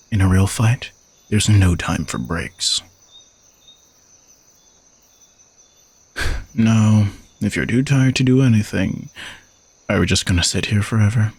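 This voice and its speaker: A man playing an arrogant, teasing young knight bodyguard who is gruffly dutiful, in an audio roleplay spoken to the listener. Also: lowish voice, dry recording, heavily acted.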